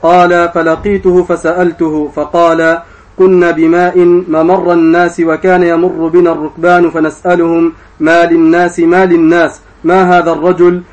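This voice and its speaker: A man reading classical religious text aloud as a student reciter, formal, measured and drawn out. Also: on the high side, little pitch movement. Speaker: student reciter of hadith texts. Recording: dull, muffled sound.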